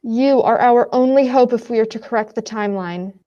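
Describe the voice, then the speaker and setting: A woman's voice: on the high side, brisk, warm tone, lo-fi sound, lightly acted. A teenage girl in a school radio play acting an earnest, patient lab scientist who explains things seriously.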